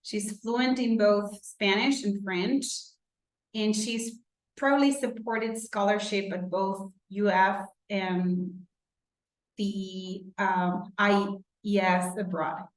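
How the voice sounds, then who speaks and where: Female event host, halting scripted introductions, roomy online-call sound. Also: mid-range voice, steady tone. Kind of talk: reading speaker introductions at a virtual event. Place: indoor room on a virtual event call. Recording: roomy, echoey video call audio.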